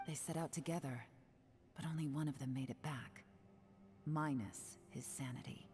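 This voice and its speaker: A composed female investigator, with a very low, dark, mellow voice. She speaks calmly and dryly, with soft game music behind her. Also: moderate pace. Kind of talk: video game voice acting.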